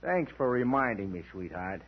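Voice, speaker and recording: A flippant gangster sidekick in a vintage radio crime play. His pitch swings about as he needles, on a noisy, dull recording. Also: big pitch swings, normal speed. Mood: mock-sweet menace. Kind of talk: 1940s-50s radio crime acting.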